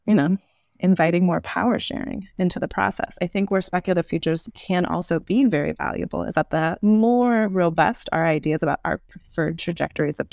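Creaky-voiced woman, low-key podcast guest. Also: dry recording, clean studio sound. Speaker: guest, urban design expert. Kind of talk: explanatory podcast interview answers.

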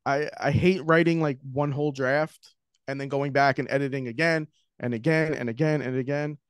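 A man's voice: medium pitch, fairly quick, smooth, no room sound. New York man, dry close sound. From Queens, New York. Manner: talkative, animated, gestures while talking. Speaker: guest screenwriter. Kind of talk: casual interview chat. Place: home room with shelves. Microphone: studio mic with headphones, video call.

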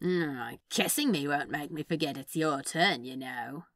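A woman's voice: low voice, a little slow, fairly bright tone, dry recording, clean studio sound, full character voice. A woman voicing a teasing, sardonic male demon in a fan fiction reading, with a sly, casual drawl. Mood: playful.

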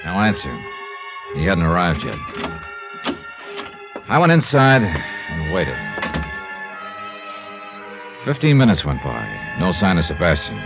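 An actor playing a wry, brisk American insurance investigator in clipped, hardboiled 1950s radio detective style. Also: male voice, sits low, sing-song, heavily acted. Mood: tense narration. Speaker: lead actor and narrator. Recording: dull 1950s radio recording with music.

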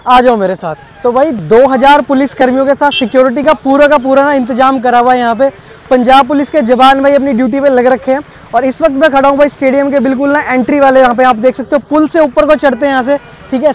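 A young man doing casual, animated street-vlog commentary and fan interviews, projecting outdoors. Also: extremely high pitch, slight background noise. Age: young adult. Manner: animated, chatty, projecting over a crowd. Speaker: vlogger interviewing cricket fans. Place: outdoors by a road outside a cricket stadium. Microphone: clip-on wireless mic, second one handheld. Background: faint crowd and street sound.

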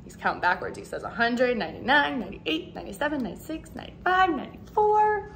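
A woman in her thirties, a teacher, reading a picture book aloud to young children in an expressive, animated way. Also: high-pitched, fast talker, a little hiss or hum. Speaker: second grade teacher. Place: living room at home, indoors.

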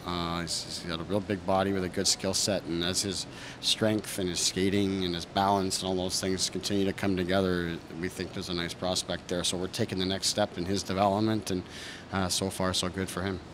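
A low-voiced man in his thirties speaks as a hockey coach at a press conference, his pitch staying flat and even. He answers in a measured, thoughtful way, full of fillers and restarts. Reporters' mics sit close in front of him, with a steady noise floor behind. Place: press room in front of a sponsor backdrop. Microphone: several reporters' handheld mics close in front.

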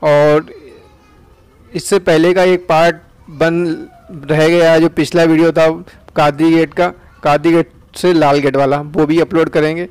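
A man's voice: on the high side, level tone, measured pace, a little mellow, clean tone, no room sound, low-quality recording. A young man from India with an Uttar Pradesh Hindi accent, casually narrating a ride through town streets outdoors as a chatty vlogger. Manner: chatty, tag questions like "है ना".

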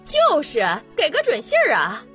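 A woman's voice: sits high, wildly swooping pitch, moderate pace, fairly bright tone, soft background music, exaggerated voice. A woman voicing an agitated, complaining townswoman in an audiobook, insistent and exclamatory. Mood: exasperated.

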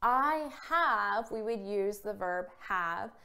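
A woman in her thirties giving a scripted English vocabulary lesson to camera, speaking clearly and carefully and acting out example phrases. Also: mid-range voice, expressive, slow pace, sharp tone. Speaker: English teacher. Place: small indoor room against a plain wall. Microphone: camera framed at chest height.

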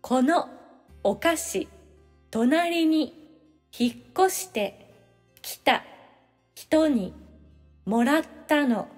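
Very high-voiced woman reading lesson sentences. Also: lively intonation, very slow, long pauses, darkish tone, long reverb tail, soft background music. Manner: clearly phrased, instructional. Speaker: language-lesson reader. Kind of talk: scripted audio-drama sentences for learners.

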